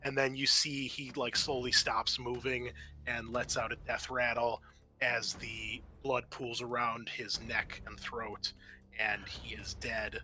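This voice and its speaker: Bright-voiced man running a horror roleplaying game. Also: medium pitch, level tone, slow pace, faint music behind, poor audio. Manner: vivid storytelling mixed with casual rules talk. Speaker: game master.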